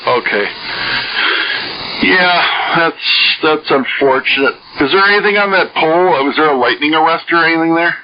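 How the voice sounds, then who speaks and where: A man's voice: a little high, moderate pace. Muffled, noisy radio, rambling Midwestern American man. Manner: rambling, thinking aloud. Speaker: amateur radio net participant. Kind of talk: casual radio chat. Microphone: two-way radio. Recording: noisy band-limited shortwave radio transmission.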